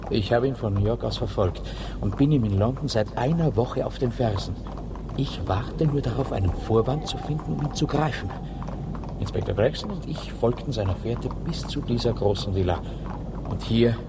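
An actor playing a cautious, earnest American detective in a radio drama, explaining things intently. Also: male voice, mid-range voice, lightly acted. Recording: clear outdoor vehicle ambience noise.

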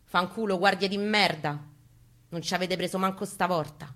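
A woman gives a dramatic first-person story reading, theatrical in delivery, moving between urgent and hushed phrasing. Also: lowish voice, fairly even intonation, moderate pace. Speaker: performer reading a story at a live reading. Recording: acoustic guitar playing behind the voice. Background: plucked guitar accompaniment.

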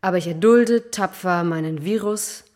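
An actress playing a stoic, wistful letter writer in an experimental radio play, speaking in measured, halting pieces. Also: female voice, on the low side, a little mellow, lightly acted.

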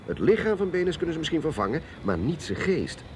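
An actor playing a modest, affable technical expert and captain in a radio play, speaking in an earnest, conversational way. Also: male voice, on the high side, very animated, a little fast, a little hiss or hum. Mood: confident, insistent. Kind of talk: Dutch radio drama acting.

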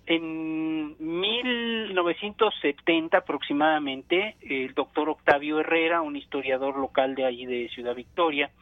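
A man, an archaeologist guest, explaining in a measured way and pausing often with fillers while he finds his words. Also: a little high, no room sound. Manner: measured, thinking aloud with many fillers. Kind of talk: explanatory phone interview. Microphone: telephone. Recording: tinny dry phone line.

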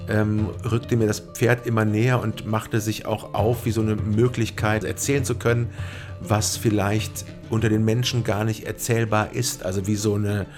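A man's voice: fairly low pitch, flat delivery, moderate pace. A male actor talking reflectively in an interview. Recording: guitar music behind the voice. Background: plucked guitar music.

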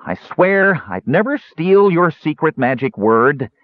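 A little male Kender adventurer voiced by a man in a storybook reading, exasperated and anxious. The voice is lifted and very animated, babbling and pleading. The recording is a bit dull. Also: fairly high pitch, normal speed, no room sound, voice pushed up. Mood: frantic.

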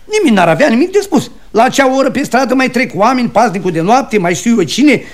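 An actor playing a foppish, gullible, self-satisfied suitor in a radio comedy, speaking in a florid, affected, gleeful way. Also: male voice, very high-pitched, very animated. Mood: eager, scheming. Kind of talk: comic radio theatre acting.

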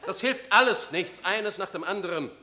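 An actor playing a harried, formal official who insistently calls an unruly crowd to order in a radio play. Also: male voice, high voice, animated, normal speed, exaggerated voice. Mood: exasperated, insistent. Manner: formal, insistent, repeatedly calling for quiet. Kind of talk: 1970s German radio play acting. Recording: clamouring crowd behind the voice. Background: noisy crowd in a hall.

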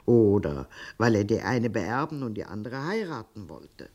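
Animated elderly grandmother. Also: extremely low pitch, normal speed, dull audio, full character voice. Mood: reminiscing. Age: older. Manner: hesitant, musing, trailing into recollection. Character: elderly German grandmother, fond, reminiscing, discreet. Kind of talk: German radio play acting.

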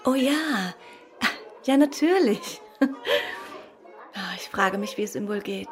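An actress playing a refined, curious, sharp-witted detective's wife in a German radio play, speaking in a conversational, inquisitive way. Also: female voice, mid-range voice, animated, takes it slowly, soft background music. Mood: wistful, casual.

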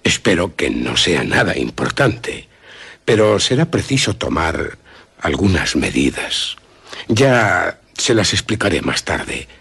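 A man playing a grave, courteous country doctor in a radio drama, with a husky Castilian Spanish voice and measured, formal, authoritative delivery. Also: low-pitched, big pitch swings, a bit bright, heavily acted. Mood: grave, firm.

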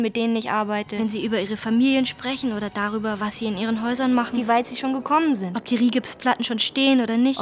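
A woman's voice: fairly high pitch, lightly acted. An actress playing a tender woman searching for words in a poetic radio drama monologue.

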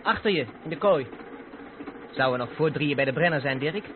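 A man's voice: fairly high pitch, expressive, moderate pace, natural voice. A man acting in a radio play as a naive, eager truck driver's mate, asking questions with hesitant starts. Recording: tinny band-limited old radio play, faint noise.

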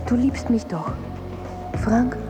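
An actress playing a possessive temptress in a radio drama, coaxing softly and intimately, with music behind. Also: female voice, fairly high pitch, lively intonation, slow. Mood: seductive, wounded. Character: seductive, possessive female temptress voice.

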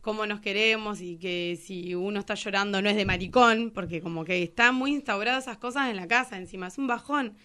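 A woman with an Argentine accent, a guest telling animated anecdotes in slang during a casual radio interview. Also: mid-range voice, a little fast, hardly any pauses. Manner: animated storytelling, quoting dialogue in slang. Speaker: guest, singer and teacher.

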